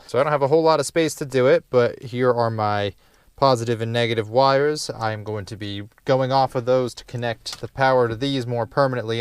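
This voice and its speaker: Clear-voiced young man, casual and dry-sounding room. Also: medium pitch, no echo. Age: young adult. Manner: wry, self-deprecating. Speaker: DIY car project presenter. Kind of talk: casual how-to vlog narration. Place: inside a parked car.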